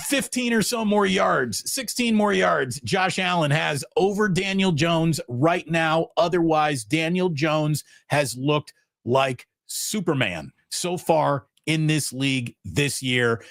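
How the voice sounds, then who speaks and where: A man's voice: a little high, vocal fry, dry recording, studio quality. A man co-hosting a sports talk show, opinionated and punchy, weighing players and cautioning against hasty conclusions. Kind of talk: sports analysis chat.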